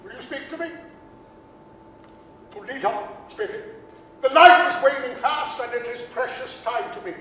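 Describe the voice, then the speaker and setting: Anxious, humbled old miser as acted on stage, unusually high voice, halting repeats, old radio-drama style, far off in a big hall. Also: a bit bright. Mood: fearful, pleading. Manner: stammering, repeating words, fretful. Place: large theatre hall, staged reading. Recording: distant, very echoey hall, faint noise.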